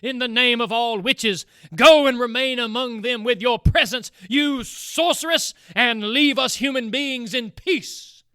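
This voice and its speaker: An enraged young knight hurls his words, raised and forceful, as a male narrator plays him. The voice is smooth and rings out clearly. The recording is dry and close. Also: extremely high pitch, a little slow, few pauses, no echo, voice pushed up, exaggerated voice. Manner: raging, shouting. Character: furious knight, young man, hot-tempered.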